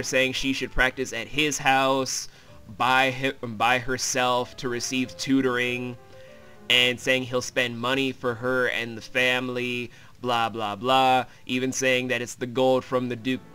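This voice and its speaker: A man's voice: medium pitch, flat delivery, unhurried, pushed and bright. A man hosting a joking, crude and irreverent recap of a video game. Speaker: host, self-described comedic voice actor. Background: game music.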